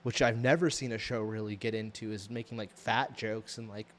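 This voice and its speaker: A man's voice: lowish voice, steady tone, brisk. A man with an American accent chatting casually as a podcast co-host, rambling through a TV show review with slangy emphasis.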